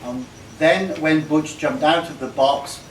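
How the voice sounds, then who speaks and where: A slightly high-voiced man reads comic verse aloud from some distance in a noisy room. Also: takes it slowly, echoey room. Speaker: party guest reading a tribute poem. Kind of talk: reading a comic rhyming poem aloud.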